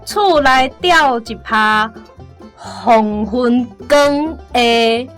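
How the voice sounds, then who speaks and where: A young woman with a Taiwanese accent, a student working haltingly through a translation in a hesitant manner. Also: on the high side, fairly bright tone, soft background music. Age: young adult. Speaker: university student contestant.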